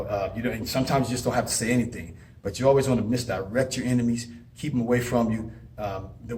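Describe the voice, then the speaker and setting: A man's voice: on the low side, darkish tone, a little hiss or hum. Earnest man rambling through advice. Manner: earnest, rambling, frequent restarts. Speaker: advice vlogger and former inmate. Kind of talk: casual advice monologue with personal stories.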